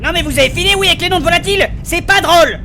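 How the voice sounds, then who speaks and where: Casting: whiny little gnome man, cowardly braggart, extremely high voice, fantasy comedy audio, heavy train clamour behind. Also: very fast, a crowd in the background, noisy background. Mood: boastful, indignant. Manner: whining, panicky protests, broken third-person grammar. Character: small whiny cowardly big-nosed male gnome, boastful, speaks in third person. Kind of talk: comic fantasy audio drama acting.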